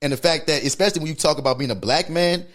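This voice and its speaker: A man with a New Orleans accent co-hosting a casual podcast discussion, animated and swearing freely. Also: on the high side, rapid-fire. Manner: animated, swears freely, tags lines with "you feel me". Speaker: podcast co-host.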